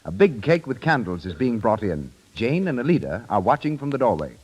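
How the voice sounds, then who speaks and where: A man's voice: mid-range voice, sing-song, brisk, darkish tone, husky. A man announcing on 1930s radio, reading scripted sponsor copy and scene introductions.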